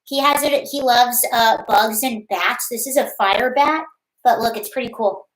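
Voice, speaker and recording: High-pitched chatty woman livestreaming. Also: measured pace, fairly bright tone, echoey room, low-quality recording. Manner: chatty, rambling, greets viewers by name. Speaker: livestream host. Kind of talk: casual livestream chat.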